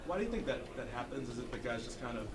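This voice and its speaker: A man's voice: medium pitch, big pitch swings, rapid-fire. A man, a sports reporter, asking long, apologetic, rambling questions. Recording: distant voice with loud background noise.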